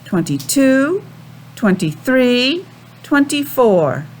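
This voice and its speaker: An American woman teaching young children a simple lesson in a sing-song, questioning way with pauses. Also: high-pitched, very animated, slight background noise. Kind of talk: children's calendar and weather lesson.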